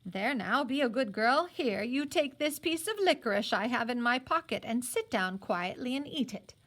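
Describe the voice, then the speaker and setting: An older colonial judge from a storybook, as a woman reader puts him on. He is formal and stern yet kindly, with an animated, fairly high delivery. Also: moderate pace.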